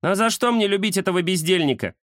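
A man's voice: high voice, expressive, fast talker, fairly bright tone, no echo, studio quality, voice pushed up. A man voicing a grumpy, bossy female cat who scolds and threatens haughtily in a children's story. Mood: angry, threatening.

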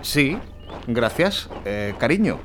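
An actor playing an anxious, placating truck driver from Spain in an audio drama, hesitant and repetitive, with a Spanish accent. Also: male voice, mid-range voice, very animated, takes it slowly, a little hiss or hum. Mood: nervous, stammering.

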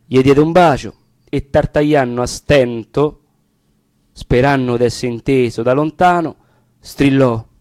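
A man with a Roman accent reads dialect verse as dramatic narration. His voice sits at an average pitch, in a very dry recording with no room sound. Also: unhurried, a little mellow, no room sound. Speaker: poetry reader. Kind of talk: dramatic reading of dialect sonnets.

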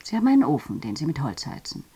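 A woman playing a sober, reflective journalist in a radio drama, speaking in a measured, husky voice. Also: low voice, huge pitch swings, mellow, heavily acted. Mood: sober, insistent. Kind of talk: radio drama documentary monologue.

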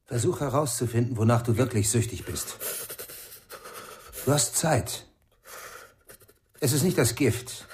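An actor playing a calm, stoic man in a radio crime drama, speaking in a measured, terse and unyielding way. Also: mid-range voice, noisy background, close to an everyday voice. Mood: stern, philosophical. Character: calm, stoic male guardian of an addict.

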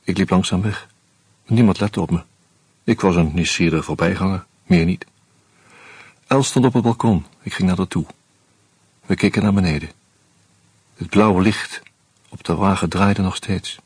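A male narrator reading a first-person novel aloud in a very husky, breathy voice. His delivery is calm and measured, sitting low in pitch. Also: no room sound. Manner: calm, measured, subdued storytelling.

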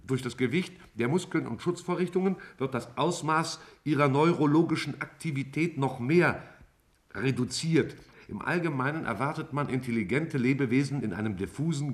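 A man playing a scientist in a 1950s German radio drama, reading messages aloud in a careful, halting way. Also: medium pitch, natural voice. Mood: halting, intent.

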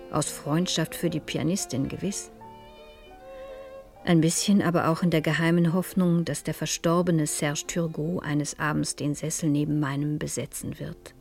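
An actress playing a curious, composed madame in a radio crime drama, speaking in a reflective, wry way. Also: female voice, deep voice, gravelly, a little mellow. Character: curious, composed madame narrating her own dangerous case. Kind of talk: German radio crime drama acting and narration.

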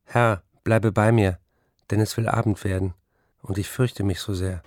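An actor playing a reflective writer who recalls his bookish childhood in measured, literary phrases for a radio play. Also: lowish voice, fairly even intonation, very noisy, close to an everyday voice. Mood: solemn. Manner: measured, literary first-person recollection.

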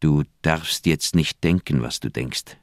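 An actor playing a conscience-stricken old doctor in a German radio crime drama, speaking in an earnest, heavy, troubled manner. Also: male voice, extremely low pitch, a little slow, no room sound, studio quality. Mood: distressed. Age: older.

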